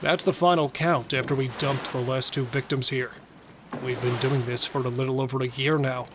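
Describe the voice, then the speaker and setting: A man playing a wary yet bloodthirsty serial killer in an audio drama, speaking with deliberate intensity. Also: mid-range voice, steady tone, noisy background, close to an everyday voice. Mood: uneasy, blunt. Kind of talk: audio thriller acting.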